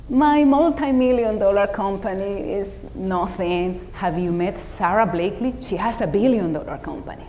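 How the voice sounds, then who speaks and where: A woman's voice: medium pitch, warm tone, a little hiss or hum, low-quality recording. A middle-aged woman from the Dominican Republic with a Dominican Spanish accent, giving a humorous, self-deprecating motivational talk as keynote speaker.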